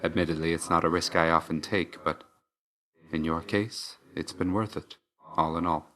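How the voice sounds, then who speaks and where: A man's voice: low voice, a little slow, pushed and bright. A man voicing a stern, brooding young god of death in a video game, speaking in a curt, restrained, solemn way with dry understatement. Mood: quiet, guarded. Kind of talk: scripted video game voice lines.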